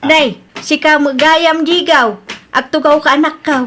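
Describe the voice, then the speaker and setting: A woman plays an elderly Filipino mother in a family audio drama. Her voice is high, and she speaks with gentle, prayerful concern for her household. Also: steady tone, measured pace, a little hiss or hum.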